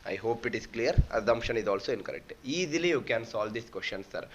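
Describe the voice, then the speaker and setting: A man's voice: medium pitch, expressive, quick pace, fairly bright tone. A man teaching a live math lesson, explaining step by step and repeating points. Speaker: online exam-prep teacher. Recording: dull lo-fi audio with faint noise.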